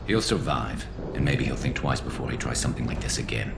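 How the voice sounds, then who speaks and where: Very deep, gravelly alien vigilante. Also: male voice, lively intonation, a little fast, very noisy, full character voice. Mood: cold, threatening. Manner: menacing, brooding, clipped. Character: grim, vengeful male alien vigilante soldier. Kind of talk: dramatic video game acting. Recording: echoing voice under loud game music.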